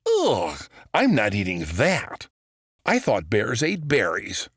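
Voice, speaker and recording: A man's voice: mid-range voice, wildly swooping pitch, takes it slowly, a bit bright, breathy edge, no room sound, clean studio sound, heavily acted. A man voicing a whiny, defiant but earnest young runaway boy in a children's storybook. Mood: disgusted. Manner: whiny, exclaiming.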